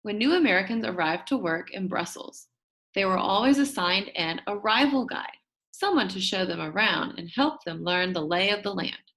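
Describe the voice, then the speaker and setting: A woman reading a children's storybook, voicing a brisk, jokey male substitute teacher who addresses his class. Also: medium pitch, animated, a bit bright. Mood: instructive. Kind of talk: children's storybook read-aloud.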